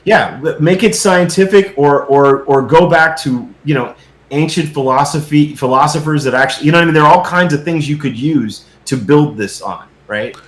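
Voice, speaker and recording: A middle-aged man in casual conversation as a guest, earnest and halting, often checking that he is understood. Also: fairly high pitch. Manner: hesitant, earnest, checks for agreement. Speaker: guest filmmaker. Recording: echoey, roomy sound.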